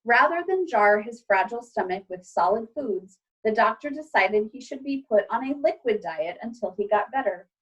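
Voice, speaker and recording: A woman reading a children's storybook aloud like a teacher, with expressive, clearly phrased delivery. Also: on the high side, normal speed, few pauses, dull audio.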